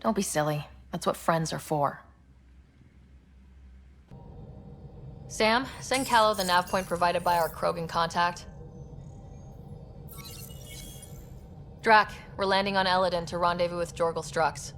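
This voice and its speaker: A woman's voice: lowish voice, a little fast, few pauses, fairly bright tone, faint music behind. A woman playing a confident, friendly young space explorer in a video game, with an assured, dryly wry manner. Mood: casual, assured.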